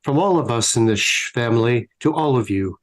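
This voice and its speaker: A man's voice: mid-range voice, expressive, brisk. A man delivering a scripted holiday greeting as a radio show sign-off. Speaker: company founder giving sign-off.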